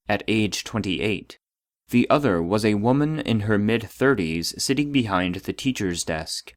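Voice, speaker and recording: A database narrator with a low voice and a very dry, close sound. He reads formal case reports with clinical detachment and precise phrasing. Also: dry recording. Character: formal male database voice reading case logs.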